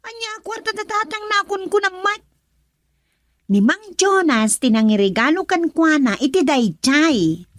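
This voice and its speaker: An actress with an Ilocano accent playing an excitable, gossipy young woman, the loyal best friend, in a radio drama. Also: very high voice, no echo, clean studio sound, heavily acted. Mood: surprised. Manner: exclamatory, teasing, drawn-out delivery.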